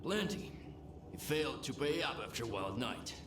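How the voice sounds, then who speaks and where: Casting: man acting world-weary game bartender, gruff and slangy, swinging intonation, echoing room. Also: mid-range voice, lively intonation, normal speed, close to an everyday voice. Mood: shrewd. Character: world-weary, pragmatic male bartender in a lawless zone. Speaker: bartender character. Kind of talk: video game dialogue. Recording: roomy echo with noisy game ambience.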